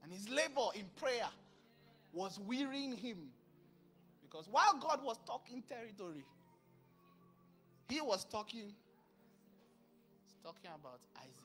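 A man in his thirties preaching a sermon into a handheld mic on a large church stage, acting out dialogue with sudden bursts of loudness. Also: very high voice, unhurried, pauses often, soft background music. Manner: animated, acts out dialogue, bursts of volume. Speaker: pastor.

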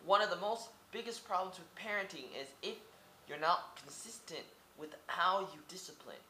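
Rambling young man, bright echoey webcam. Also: sits high, expressive, moderate pace, slight background noise. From Oregon, United States. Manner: rambling, asks many rhetorical questions, repeats words. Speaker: vlogger. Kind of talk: casual monologue to camera. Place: small indoor room. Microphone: webcam at close range.

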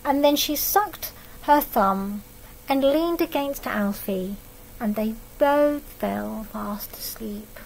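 Slow, higher-voiced woman reading a storybook. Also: gravelly, slight background noise, rough recording. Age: middle-aged. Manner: expressive storytelling that pauses to comment on the pictures. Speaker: storybook reader for young children. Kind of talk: reading a picture book aloud, with asides to young listeners. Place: living room at home, indoors.

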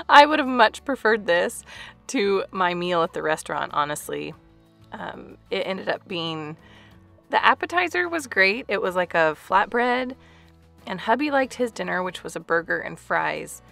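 A woman's voice: medium pitch, sing-song, measured pace, gravelly, fairly bright tone, soft background music. A woman in her thirties chatting casually through a home cooking vlog voice-over, with light laughs. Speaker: family vlogger.

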